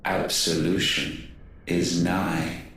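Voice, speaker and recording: A man's voice: sits low, little pitch movement, extremely slow, a little hiss or hum, full character voice. A man voicing an ancient, godlike game villain who speaks in solemn, haughty, archaic pronouncements, cold and contemptuous of mankind. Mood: solemn triumph. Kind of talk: dramatic video game voice acting. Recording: heavily echoing voice under loud music.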